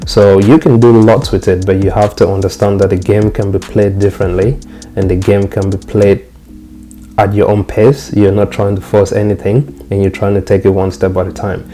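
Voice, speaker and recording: A young man from Cameroon with a lowish, mellow voice talks viewers through his trading dashboard in a loose, repetitive way, often circling back over the same phrase. Soft music plays faintly underneath. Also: little pitch movement, moderate pace. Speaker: trading channel host. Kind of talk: casual screen walkthrough.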